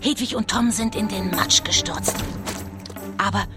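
An excitable, fearful female narrator voiced by an actress gives suspenseful commentary in a children's spooky radio play. Her mid-pitched voice is set over prominent dramatic music. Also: unhurried, close to an everyday voice. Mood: alarmed, excited. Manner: suspenseful, shifting from whispers to cries.

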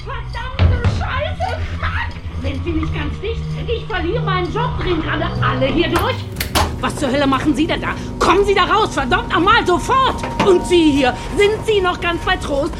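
An actress playing a furious, foul-mouthed laundromat manageress in a shrieking tirade in a radio play. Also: female voice, extremely high pitch, expressive, normal speed, loud music behind the voice.

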